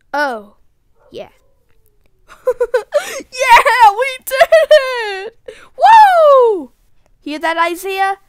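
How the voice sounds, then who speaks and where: Woman acting very high-pitched, exclamatory kid adventurer. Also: a bit bright, dry recording, full character voice. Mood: excited. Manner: exclamatory, wide-eyed. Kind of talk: video game voice lines.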